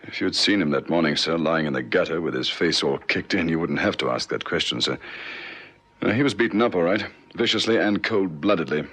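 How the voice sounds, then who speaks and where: A frustrated, dogged detective sergeant with a low, rough-edged voice. He reports to his superior in clipped, deferential phrases, in the earnest manner of a 1960s radio police drama. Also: lively intonation, a little fast, a bit bright, heavily acted. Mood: grim, insistent.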